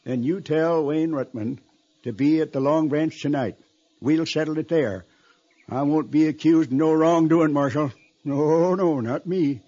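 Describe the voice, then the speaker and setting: An actor playing a sly, mock-innocent old rancher with a rural Western American drawl and a rough, husky voice. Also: male voice, on the high side, unhurried, warm tone. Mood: wily, indignant. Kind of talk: 1950s radio western acting. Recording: muffled old radio with music.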